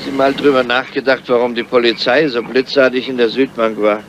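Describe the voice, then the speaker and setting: An actor playing a crafty, weary, grumpy police inspector in a radio crime drama, speaking in a dry, measured, deliberate way. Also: male voice, medium pitch, little pitch movement, natural voice. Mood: matter-of-fact, probing. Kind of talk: 1970s German crime radio drama acting. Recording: noisy, dull old radio drama recording.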